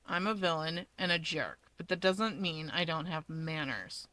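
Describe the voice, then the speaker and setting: A woman's voice: sits low, a little slow, pushed and bright. A woman voicing a sardonic, stubborn young male villain with a dry, grumbling drawl in a story. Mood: put out.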